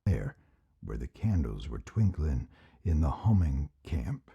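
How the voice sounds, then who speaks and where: A man with a deep, husky voice reading scripted literary audiobook narration. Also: very animated, takes it slowly, warm tone. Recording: dull, muffled playback of narration.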